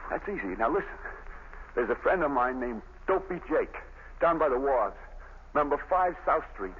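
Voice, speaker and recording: Brief: actor voicing a streetwise doomed young con, tinny hissy vintage radio. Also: male voice, medium pitch, sing-song, moderate pace, close to an everyday voice. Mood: desperate. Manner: streetwise, tough-talking. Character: Dan Malley, doomed young male convict, tough. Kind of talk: 1930s radio drama acting.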